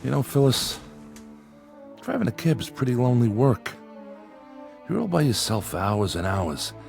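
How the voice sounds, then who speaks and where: Troubled, plaintive husband, wide-ranging pitch. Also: male voice, very animated, moderate pace, gravelly, darkish tone, soft background music. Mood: aggrieved. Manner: plaintive, earnest. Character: troubled, anxious, aggrieved male cab driver husband. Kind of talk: radio drama acting.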